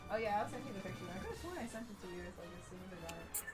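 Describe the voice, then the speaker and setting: A woman's voice: mid-range voice, sing-song, very fast. A woman hosting a stream, chatting casually with a giggly, rambling manner. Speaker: streamer host. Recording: music playing behind.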